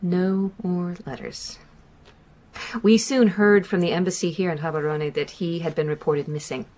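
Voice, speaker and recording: A woman's voice: lowish voice, fairly even intonation, normal speed, warm tone, natural voice. An actress playing a middle-aged American mother, reflective and sorrowful, recounting the loss of her son in a radio drama.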